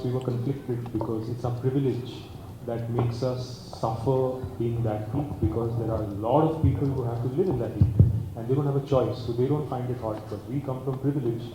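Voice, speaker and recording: A man, speaking reflectively and articulately about making a film, thinking aloud at a press meet. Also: mid-range voice. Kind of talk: press conference answers. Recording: echoey hall with background noise.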